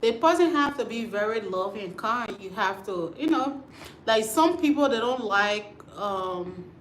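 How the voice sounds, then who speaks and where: A woman's voice: fairly high pitch, expressive, a little slow, echoey room, slight background noise, poor audio. A woman from Liberia, hosting a casual livestream chat and answering viewer comments. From Liberia, West Africa. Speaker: livestream host. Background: storm and rain outside.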